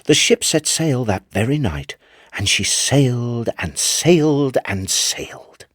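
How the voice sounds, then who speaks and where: A man narrating a literary tale in an expressive, theatrical storytelling manner. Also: medium pitch, slow pace, no echo, clean studio sound. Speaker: narrator, audiobook reader. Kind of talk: dramatic scripted literary narration.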